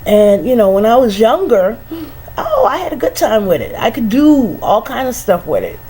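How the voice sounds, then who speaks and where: A middle-aged woman with a head cold, musing slowly and casually, sighing and laughing softly between many "you know"s. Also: on the high side, sing-song, husky, low-quality recording. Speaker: vlogger. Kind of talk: casual reflective monologue.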